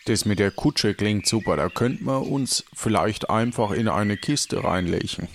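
A man playing a helpful, naive gatekeeper in an improvised pirate audio play, talking in a casual, halting way. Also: on the low side. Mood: earnest planning. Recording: faint background noise.

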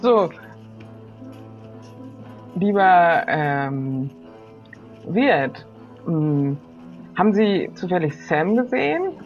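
A woman's voice: on the low side, big pitch swings, very slow, long pauses, lo-fi sound, soft background music, exaggerated voice. Woman as a friendly, upbeat pirate searcher. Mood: cheerful. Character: friendly, generous pirate searching for missing captain. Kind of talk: improvised role-play.

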